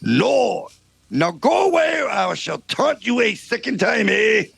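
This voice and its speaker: A man voicing a rude, mocking French castle guard in an exaggerated put-on French accent, shouting insults in an amateur comedy read-through. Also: sits high, very animated, vocal fry, husky, no room sound, full character voice. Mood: taunting. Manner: shouted insults, sneering drawn-out taunts.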